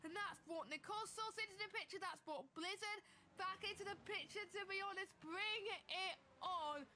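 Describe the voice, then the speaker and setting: A woman with a cold, losing her voice, giving excited live commentary on a sim race. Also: extremely high pitch, normal speed, a little hiss or hum. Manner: excitable, building to shouts at close battles.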